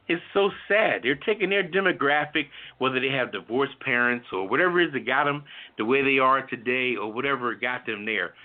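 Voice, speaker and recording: A middle-aged man giving an opinionated, informal talk show monologue, blunt and profane, repeating himself for emphasis. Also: on the high side. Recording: thin phone-like audio.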